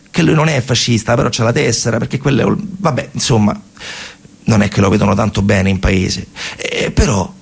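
A man's voice: fairly low pitch, vocal fry, slightly rough. A man with a central Italian accent telling a story as a dramatic theatre monologue, colloquial and given to emphatic repetition. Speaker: stage storyteller.

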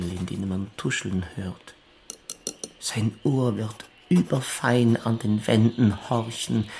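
A solemn literary reciter. The man speaks slowly and deliberately in a creaky voice, with faint noise behind him. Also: medium pitch, darkish tone. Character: solemn male reciter of poetic manifesto. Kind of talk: literary recitation in radio play.

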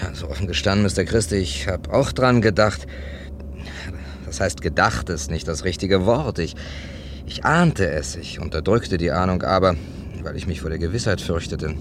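An actor playing a gentle, courteous amateur sleuth in a German radio crime play, speaking thoughtfully and hesitantly. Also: male voice, deep voice, moderate pace, noisy background. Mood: pensive, doubtful.

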